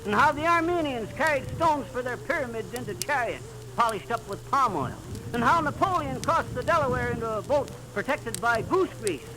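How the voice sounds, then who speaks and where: An actor playing a boastful, folksy small-town know-it-all husband with rustic, blustering speech in 1930s radio comedy style. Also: male voice, very high voice, expressive, moderate pace, few pauses, background noise. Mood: boastful, teasing. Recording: vintage 1930s radio recording.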